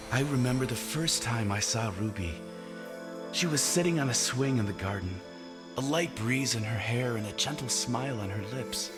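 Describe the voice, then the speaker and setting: A devoted young bridegroom hero, acted by a man in a fantasy game. His earnest, dramatic voice sits at a middling pitch and swings widely, with clear music behind it. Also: close to an everyday voice.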